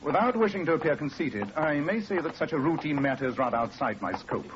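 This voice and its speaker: An actor playing an aloof, precise English master detective in a clipped, imperious 1940s radio manner. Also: male voice, a little high, sing-song, quick pace. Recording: muffled, noisy 1940s radio recording.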